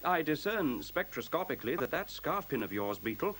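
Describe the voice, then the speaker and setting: An actor playing a self-assured, courteous computer in a radio play, speaking in articulate, formal, precisely lecturing phrases. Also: male voice, a little high, big pitch swings, fairly quick, a bit bright. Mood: coolly confident. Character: self-assured, courteous sentient computer, male-voiced, coolly superior. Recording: faint tape hiss.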